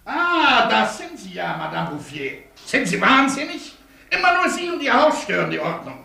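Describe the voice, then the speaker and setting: An officious, furious air-raid warden in a radio drama. His voice is high and sharp and swings widely as he scolds. It rings from some distance in an echoey room. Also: big pitch swings, normal speed, vocal fry, exaggerated voice. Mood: outraged, threatening. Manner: barking, hectoring. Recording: echoey room, far from mic.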